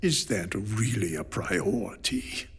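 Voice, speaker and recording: An actor playing a gruff, skeptical military official who speaks slowly with a raspy voice. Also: male voice, fairly low pitch, expressive, full character voice. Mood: doubtful. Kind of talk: audio drama acting.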